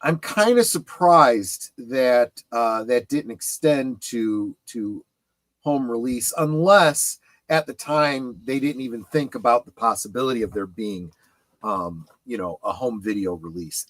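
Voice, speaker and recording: A man hosting a casual panel discussion on his channel, halting and emphatic as he thinks aloud. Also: medium pitch, a bit bright, dry recording. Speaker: host of a pop culture streaming channel.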